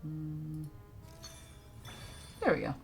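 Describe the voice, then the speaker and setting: A woman's voice: deep voice, extremely slow, gravelly, darkish tone, soft background music. A woman giving casual, reactive live commentary as she streams a game, talking informally.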